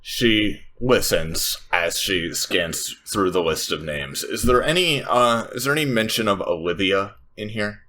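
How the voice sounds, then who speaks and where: A man's voice: on the low side, animated, unhurried, hardly any pauses, sharp tone. A man playing a tabletop game, describing his character's actions in improvised narration. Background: faint background music.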